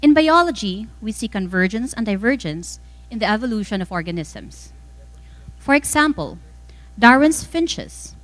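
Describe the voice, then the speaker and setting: A woman with a Filipino accent reading a formal scripted speech as a guest speaker, measured and emphatic phrase by phrase. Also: mid-range voice, a bit bright. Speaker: guest speaker, former mayor.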